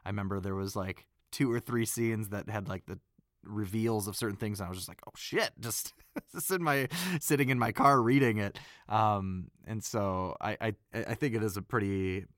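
Man, very low voice, dry close sound, casual rambling talk. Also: moderate pace, fairly bright tone, no room sound. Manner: loose and rambling, many "like" fillers. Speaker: voice actor. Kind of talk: casual behind-the-scenes chat.

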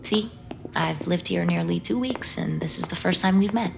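A secretive vampire woman in an audio drama, low-voiced with a heavy fry. She is aloof and curt, choosing formal words. Music runs underneath. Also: moderate pace. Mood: aloof, dismissive. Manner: curt, cool, formal, breathy at times.

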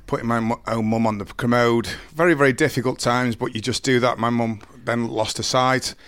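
A man in his forties with a deep voice, telling his life story slowly and earnestly in an interview, often restarting his sentences. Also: steady tone, fairly bright tone, no echo. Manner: slow, halting, earnest storytelling with many restarts. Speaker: interview guest. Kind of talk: candid personal interview.

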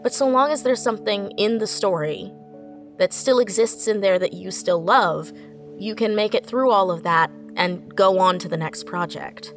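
A woman's voice: medium pitch, moderate pace. A woman speaking in an earnest, encouraging and emphatic way in a reflective panel conversation, often repeating phrases to press a point. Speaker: audio fiction writer on a panel. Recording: music playing behind the voice.

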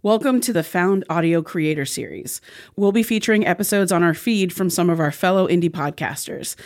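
A podcast host reading a welcoming, measured scripted intro. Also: medium pitch.

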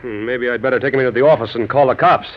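A man's voice: mid-range voice, rapid-fire, natural voice. No-nonsense club manager played by a man, old radio. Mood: stern. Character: protective, no-nonsense nightclub manager. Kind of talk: 1940s radio acting. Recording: muffled old radio recording with music.

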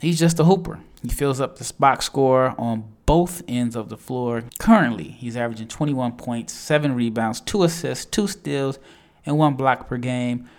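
A man's voice: mid-range voice, moderate pace, a little mellow. A man hosting his own show, giving a solo breakdown of basketball draft prospects in a chatty, enthusiastic way with frequent restarts.

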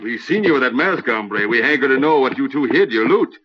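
An actor playing a menacing outlaw gang leader in an old radio western, with gruff, bossy frontier slang and an American West accent. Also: male voice, mid-range voice, expressive, a little fast, lightly acted. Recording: dull, lo-fi old radio recording.

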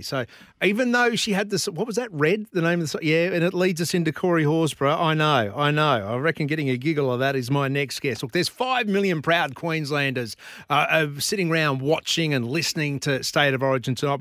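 A man's voice: a little high, a bit bright, no echo, clean studio sound. A middle-aged Australian man hosting a sports radio show, chatting and interviewing in an emphatic, jokey way. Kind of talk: animated radio interview chat.

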